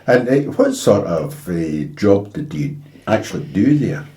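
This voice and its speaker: A man with a Scottish accent interviewing informally, chatty and halting in his delivery. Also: lowish voice, big pitch swings, mellow. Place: indoors in a house. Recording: roomy, echoey home recording.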